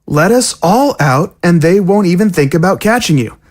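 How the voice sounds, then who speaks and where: A scheming young zombie girl, acted by a woman, pleads her case in an audio drama. Her voice is husky and lively, rising and falling as she persuades. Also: fairly low pitch, moderate pace. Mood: scheming, urgent.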